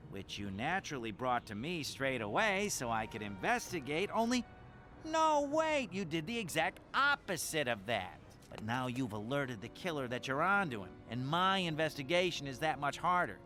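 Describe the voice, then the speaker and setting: An exasperated, officious alien inspector from an animated series. The voice is sharp and fairly high, full of sarcastic swings, with faint music underneath. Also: male voice, normal speed.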